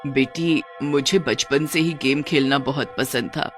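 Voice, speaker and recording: A woman voicing a gentle village mother in a cartoon story, speaking in a soft, instructive, motherly way. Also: very deep voice, a little slow, music in the background, pitched below the performer's own voice. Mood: reminiscing. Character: gentle, kindly village mother talking to her daughter.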